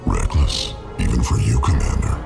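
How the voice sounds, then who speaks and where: A man's voice: a bass voice, lively intonation, moderate pace, gravelly, full character voice. A man playing a huge, cold, arrogant alien crime lord in a video game, speaking in a formal, contemptuous growl with a processed monster sound. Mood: cold contempt. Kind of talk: video game villain acting. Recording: loud music behind the voice.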